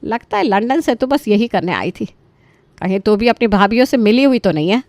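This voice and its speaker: A woman voicing an exasperated older mother who complains loudly and emphatically in a dramatic story. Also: fairly high pitch, lively intonation, moderate pace, no echo, natural voice. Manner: loud, emphatic, grumbling.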